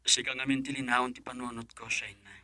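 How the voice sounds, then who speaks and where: A man's voice: medium pitch, level tone, quick pace, pushed and bright, dry recording. An actor playing an earnest, smitten professional man wooing insistently in an Ilocano radio drama.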